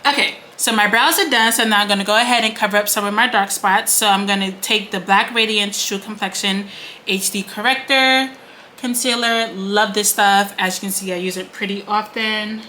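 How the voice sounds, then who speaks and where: A woman's voice: mid-range voice, steady tone, moderate pace, pushed and bright, a little hiss or hum. A woman in her late twenties chatting casually at home while doing a makeup tutorial, with lots of "like" and "um" fillers. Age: late 20s. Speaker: beauty vlogger. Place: home, indoors.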